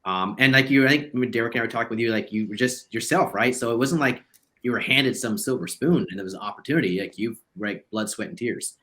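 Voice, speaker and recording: A man hosts a casual podcast, his words tumbling out quickly. He sounds enthusiastic and rambles from one thought to the next. His pitch sits in the middle. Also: a bit bright. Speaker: podcast host.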